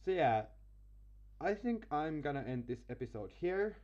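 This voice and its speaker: A man's voice: a little high, big pitch swings, normal speed, darkish tone, highs cut off. A man doing an amateur let's play, reading a visual novel aloud with casual commentary and stumbling over words. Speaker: let's player. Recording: music behind.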